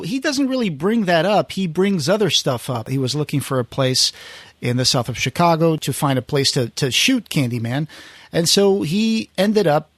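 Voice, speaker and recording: A man with a slightly high-pitched voice hosts a casual podcast. He recounts film history like a conversational storyteller, quoting people along the way. The sound is dry, with very little room in it. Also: dry recording. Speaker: podcast host.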